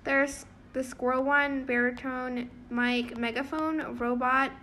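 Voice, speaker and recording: A woman's voice: high-pitched, steady tone, takes it slowly, bright, forceful tone, slight background noise. A young woman hosting a casual app tutorial, informal, giggly and a little hesitant, talking over a screen recording. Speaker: tutorial channel host.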